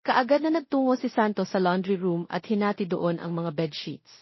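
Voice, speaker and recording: A woman's voice: mid-range voice, expressive, moderate pace, husky. A Filipino woman reading a scripted romance story as its narrator. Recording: dull, roomy, lo-fi audio.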